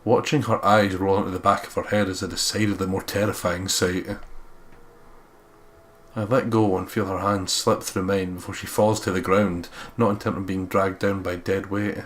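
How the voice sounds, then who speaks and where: A man with a fairly low voice reads story text aloud from a visual novel. He narrates expressively as a let's player, with faint noise in the background. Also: flat delivery, normal speed.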